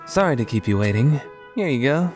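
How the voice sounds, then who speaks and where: Very animated, easygoing young man played by an actor. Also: medium pitch, brisk, music in the background, close to an everyday voice. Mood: breezy, insistent. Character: easygoing, oblivious, gently teasing young man. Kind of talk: fan dub drama acting.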